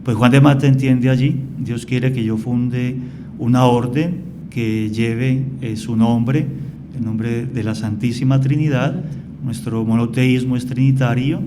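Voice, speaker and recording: A man from Colombia, a priest guest on a radio interview, explaining at length in a calm, storytelling way. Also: mid-range voice, unhurried, background noise.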